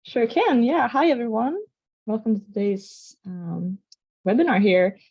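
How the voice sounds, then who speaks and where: A woman with a Swedish accent, a guest scientist introducing herself on a video call in a relaxed, friendly way. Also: fairly high pitch, a little slow, slightly rough, highs cut off. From Sweden. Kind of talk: casual self-introduction.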